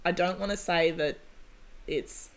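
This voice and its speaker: A woman's voice: fairly low pitch, steady tone, unhurried. A woman hosting a casual comedy chat podcast, talking in a playful, chatty way with some swearing. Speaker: podcast host.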